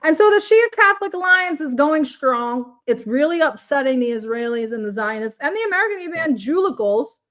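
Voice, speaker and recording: A woman's voice: sits high, lively intonation, fairly quick, few pauses, fairly bright tone. A woman co-hosting a casual political talk show, bubbly and enthusiastic, often laughing. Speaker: co-host.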